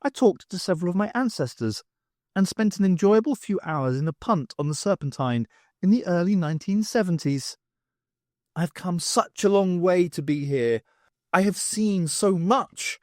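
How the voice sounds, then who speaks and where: Fussy artist, done by a man, male, high voice, lively pitch, rambling, airy, apologetic, audiobook character. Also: normal speed, dry recording, studio quality. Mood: dreamy wonder.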